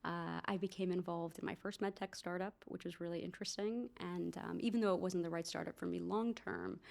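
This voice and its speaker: A woman in her thirties from New Jersey with an American accent, a doctor and founder chatting as an interview guest, animated and full of asides. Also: on the low side, brisk, vocal fry. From United States, New Jersey. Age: 30s. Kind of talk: casual interview conversation.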